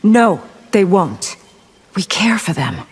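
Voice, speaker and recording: An actress playing a principled, composed princess in a video game, firm and measured. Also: female voice, medium pitch, very animated, heavy background noise.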